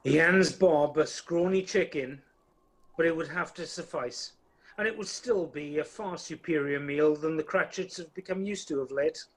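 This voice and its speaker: High-voiced male radio play narrator. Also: low-quality recording. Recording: faint background noise, home video-call recording.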